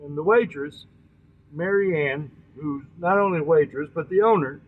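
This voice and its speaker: A man hosting an informal storytelling session, speaking in a folksy, halting way, often repeating and correcting his words and addressing listeners directly. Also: fairly high pitch, narrow, tinny audio. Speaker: storytelling host.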